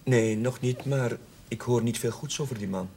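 An actor playing a shy, kind young village chaplain with a hesitant, stammering manner, in a television period drama. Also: male voice, mid-range voice, normal speed, gravelly, warm tone, a little hiss or hum, lightly acted. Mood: polite, curious.

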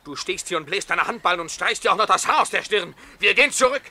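A sharp, intense young lover. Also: male voice, high voice, lively intonation, quick pace, full character voice. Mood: angry, agitated. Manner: commanding, intense. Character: impassioned, possessive young male lover Jan. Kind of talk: stage-trained radio drama acting. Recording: 1971 radio play, noisy ambience.

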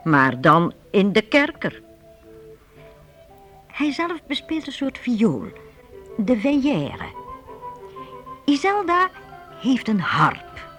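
Slow, expressive radio play storyteller. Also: mid-range voice, faint music behind. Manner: addresses listeners directly, expressive. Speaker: narrator of a youth radio play. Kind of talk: scripted storytelling narration.

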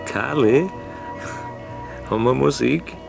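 A man's voice: medium pitch, takes it slowly, creaky. A man with an Austrian accent playing an amused late-night talk jockey in a radio drama. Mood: tired, bemused. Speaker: radio talk show host. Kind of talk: radio drama acting, casual on-air chat. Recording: loud music behind the voice.

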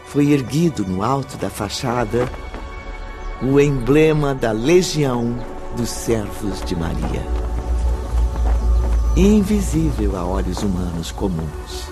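A man with a Brazilian accent as the solemn storyteller of a radio drama, narrating in a formal, deliberate manner. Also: mid-range voice. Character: solemn omniscient male storyteller. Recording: music clearly behind the voice.

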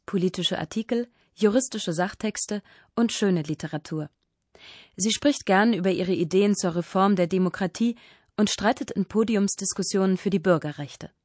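A woman's voice: medium pitch, a little mellow, no echo, clean studio sound. A woman reading scripted narration for a radio feature in a composed, orderly way.

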